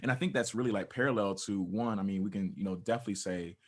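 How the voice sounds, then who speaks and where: Earnest man with a low voice. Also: rapid-fire. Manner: conversational, checking in with "right?". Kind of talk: casual, earnest interview answer.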